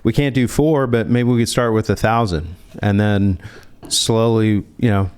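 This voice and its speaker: A man's voice: lowish voice, steady tone, unhurried, a little mellow, smooth. A man speaking as a financial advisor in a conversational interview, explaining step by step and posing rhetorical questions.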